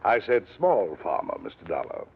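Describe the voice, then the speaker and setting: A man's voice: sits low, huge pitch swings, normal speed, breathy edge, exaggerated voice. An actor playing a fussy, self-important middle-aged businessman in a 1950s radio drama, explaining himself hesitantly and evasively. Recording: dull vintage radio recording.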